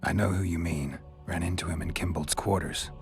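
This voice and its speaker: Extremely deep, gravelly monster hunter. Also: male voice, steady tone, soft background music. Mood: curt, guarded. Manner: laconic, dry, unhurried. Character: gruff, terse, skeptical monster hunter for hire. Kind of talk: video game cutscene dialogue.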